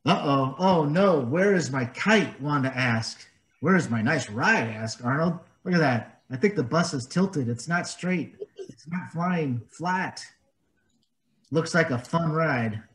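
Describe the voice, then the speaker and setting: A man reading a picture book aloud to young children, gently explaining it in simple words and asking them questions. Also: a little high, lively intonation, takes it slowly. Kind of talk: storybook read-aloud with explanations.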